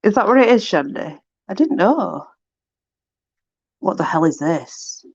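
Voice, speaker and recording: A woman's voice: fairly low pitch, big pitch swings, unhurried, slightly rough, no room sound, highs cut off. A woman with a Yorkshire accent, reading aloud in a casual, chatty way with informal, exclamatory asides to a companion. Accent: Yorkshire English. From Yorkshire, England. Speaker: host reading aloud from a book.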